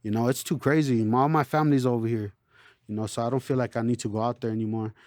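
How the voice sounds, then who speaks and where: A man's voice: mid-range voice, fairly even intonation, fast, a little mellow, no echo. Laid-back streetwise man, dry sound. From born in Chicago, raised in El Paso. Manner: laid-back, streetwise, lots of "you know". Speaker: local rapper, interview guest. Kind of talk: casual interview chat.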